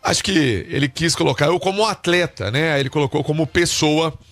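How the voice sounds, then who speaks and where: A man's voice: on the high side, fairly quick, a bit bright, dry recording. Dry-voiced male sports radio commentator. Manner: conversational, addresses co-host directly.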